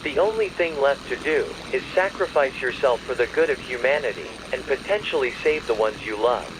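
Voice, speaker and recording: Noisy droning background, man, medium pitch, emergency broadcast announcer, scripted warning, formal, measured, official. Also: animated. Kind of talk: scripted emergency alert narration.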